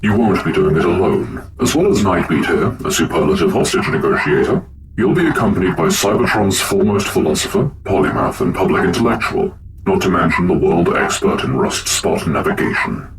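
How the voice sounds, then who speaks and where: A man's voice: low voice, animated, brisk. A man playing an imperious robot leader with a commanding, declamatory delivery in a sci-fi drama. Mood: briefing. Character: imperious, gruff male robot leader of a new government. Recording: loud background ambience noise.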